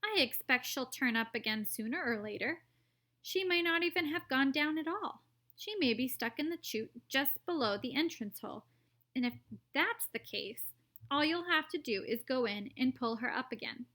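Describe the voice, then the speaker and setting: A woman's voice: sits high, lively intonation, normal speed, sharp tone. A woman voicing a breezy, unconcerned eccentric man in a children's story, airy and soothing. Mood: nonchalant.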